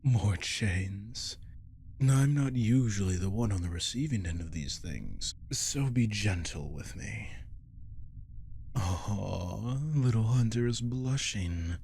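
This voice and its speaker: A man's voice: fairly low pitch, expressive, slow pace, gravelly, darkish tone, breathy edge, heavily acted. A man voicing a captive werewolf in an ASMR roleplay, cocky and predatory, with taunting, flirtatious drawls that often drop toward a whisper. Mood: cocky teasing. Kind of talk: ASMR roleplay audio drama.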